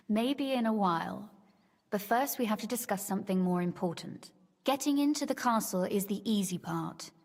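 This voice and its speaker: A woman's voice: medium pitch, lively intonation, moderate pace, big-room sound. An actress playing a shrewd, teasing young medieval noblewoman in a video game, speaking in articulate, formal phrases. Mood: wry, confident. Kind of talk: scripted game dialogue.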